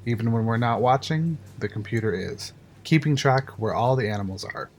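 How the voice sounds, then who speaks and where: A man's voice: medium pitch, normal speed, lightly acted. A man playing a proud, matter-of-fact control-room engineer in an audio drama, explaining with confidence. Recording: music under the voice. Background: music.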